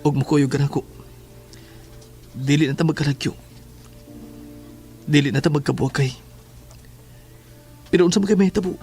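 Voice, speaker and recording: A man's voice: fairly high pitch, normal speed, long pauses, a little mellow, faint music behind, lightly acted. A man playing an earnest, troubled young lover in an audio drama. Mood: earnest, worried. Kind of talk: Filipino audio drama dialogue.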